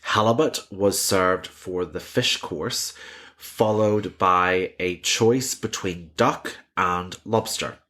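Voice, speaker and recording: A man hosting a history podcast, reading scripted narration with measured, wry conversational asides. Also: deep voice, sharp tone. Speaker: history podcast host and author.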